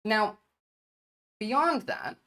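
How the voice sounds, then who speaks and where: A woman's voice: mid-range voice, expressive, drawn-out words, pushed and bright. A woman giving an earnest, argumentative opening statement in an online debate, citing statistics with some hesitations. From United States.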